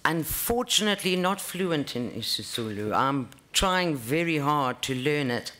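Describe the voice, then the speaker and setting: A middle-aged woman with a South African accent, giving earnest, measured answers at a formal interview, recorded on a table microphone in a large hearing room. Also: low-pitched, animated, fairly bright tone. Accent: South African English. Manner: earnest, measured, with frequent ums. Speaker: judicial candidate being interviewed.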